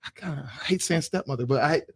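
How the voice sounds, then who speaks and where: A man hosting a casual group chat, animated and laughing often. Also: fairly high pitch, fast talker, breathy edge, dry recording, studio quality. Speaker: podcast host.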